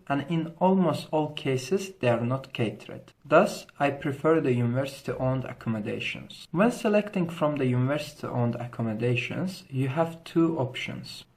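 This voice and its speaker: Young man, Azerbaijani accent, student presenter, scripted narration, formal, careful wording, medium pitch. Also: darkish tone. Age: young adult.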